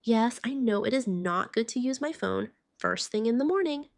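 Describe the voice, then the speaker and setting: A woman's voice: a little high, lively intonation, normal speed, gravelly, dry recording, studio quality. A woman vlogger narrating a roleplay morning-routine video, chatting directly to her viewers. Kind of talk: voiced roleplay vlog narration.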